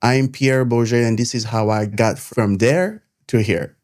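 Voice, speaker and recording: Haitian guy, middle aged, earnest and slow storytelling, mid pitched voice. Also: fairly even intonation, darkish tone. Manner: earnest, measured, with pauses between phrases. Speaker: nurse turned content creator. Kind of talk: personal storytelling to camera.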